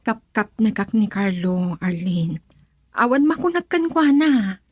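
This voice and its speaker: A woman's voice: mid-range voice, very animated, a little slow, slightly rough, no room sound, studio quality. A woman playing a headstrong, insistent young bride-to-be who defies her father in an Ilocano radio drama.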